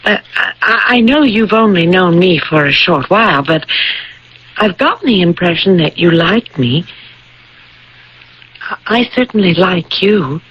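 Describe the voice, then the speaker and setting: Earnest, husky-voiced young woman. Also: lowish voice, animated, a little slow, long pauses. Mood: gentle, probing. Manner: hesitant, earnest, pleading, with stammered starts. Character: earnest young woman, gentle, persistent future daughter-in-law. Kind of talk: 1980s radio drama acting. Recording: dull old radio broadcast recording.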